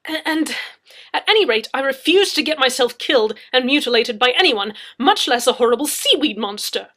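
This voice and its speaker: An indignant, impetuous young man in an audiobook story, as a woman plays him. The voice is high and jumps up louder, with emphatic protests and a cheeky, self-important air. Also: animated, normal speed. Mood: indignant, protesting. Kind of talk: dramatic audiobook character reading.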